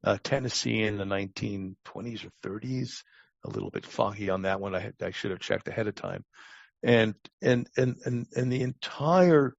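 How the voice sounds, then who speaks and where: A man in his sixties with a gravelly voice, telling stories casually as a podcast guest in a halting, wry way with repeated words. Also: on the low side, dry recording. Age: 60s. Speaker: podcast guest, longtime lifeguard. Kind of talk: casual interview storytelling. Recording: dull, lo-fi close recording.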